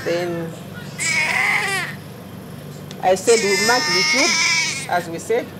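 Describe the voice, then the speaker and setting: A woman's voice: medium pitch, unhurried. A woman health worker explaining a hands-on procedure as she works in a hospital ward. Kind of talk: hands-on demonstration, explaining while working. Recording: loud ward noise, baby crying. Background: crying baby.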